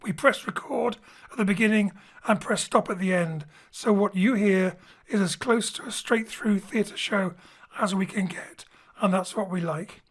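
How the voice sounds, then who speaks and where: A man's voice: high voice, normal speed. Man introducing an audio drama show. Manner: friendly, slightly jokey, mixes in Welsh phrases. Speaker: director and co-writer. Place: home studio.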